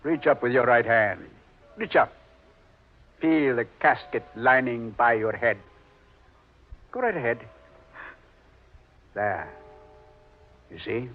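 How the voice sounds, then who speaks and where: A man playing a suave, mocking Devil who ferries souls, speaking with slow, sardonic deliberation in an old radio horror drama. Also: mid-range voice, expressive. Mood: suave, taunting. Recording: muffled old-time radio recording.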